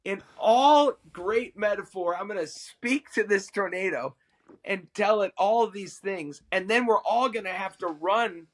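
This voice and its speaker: A middle-aged man, a worship musician and podcast guest, telling a personal story in an animated, self-amused way. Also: high voice.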